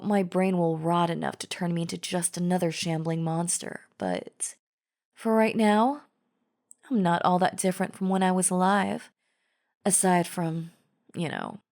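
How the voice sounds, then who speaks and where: A woman's voice: lowish voice, gravelly, a little mellow. A woman playing a chatty female zombie in an audio roleplay, casually coaxing the listener with false friendliness and a sly, wheedling drawl. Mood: chummy, reasoning. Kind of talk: scripted audio roleplay acting, addressing the listener.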